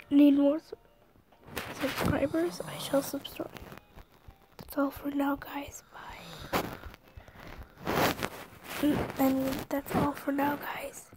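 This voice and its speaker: A young girl who is sick and losing her voice chats haltingly while showing a drawing on her phone at home. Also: sits high, noisy background. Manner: rambling, halting, with throat clearing. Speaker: drawing app vlogger. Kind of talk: casual screen-recorded chat. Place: bedroom at home, brother snoring nearby.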